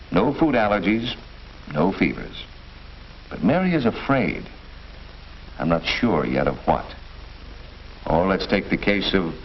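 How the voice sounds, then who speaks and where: An American man playing a kindly, patient family doctor in a 1940s instructional film, lecturing in a measured, deliberate way. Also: deep voice, full character voice. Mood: patient, explaining. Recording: muffled, hissy 1940s film soundtrack.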